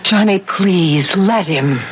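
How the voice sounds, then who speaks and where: An actress playing a frightened, pleading young fiancée in a 1970s radio drama. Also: female voice, lowish voice, slow. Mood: quiet pleading. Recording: noisy, dull old radio recording.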